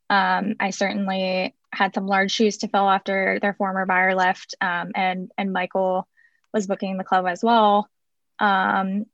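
Raspy young woman, chatty interview guest. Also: medium pitch, a bit bright, no echo, dull audio. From San Francisco. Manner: chatty, many ums and restarts. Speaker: guest, music marketing head. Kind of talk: casual interview chat.

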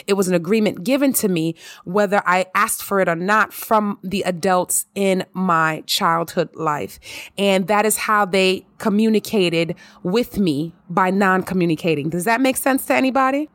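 A married woman hosting her own podcast, talking in an expressive, emphatic monologue with plenty of repetition. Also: mid-range voice, normal speed, dry recording, studio quality. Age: adult, married. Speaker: podcast host. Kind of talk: casual monologue.

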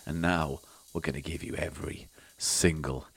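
A man's voice: very deep voice, fairly even intonation, moderate pace, slightly rough. A middle-aged man, a little tipsy, co-hosting a bawdy podcast chat in a giggly, crude, teasing way. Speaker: podcast co-host.

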